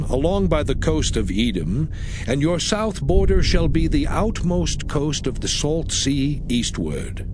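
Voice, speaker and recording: An actor playing a solemn biblical leader, proclaiming law in a measured, declamatory manner in a dramatized scripture reading. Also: male voice, on the high side, background noise.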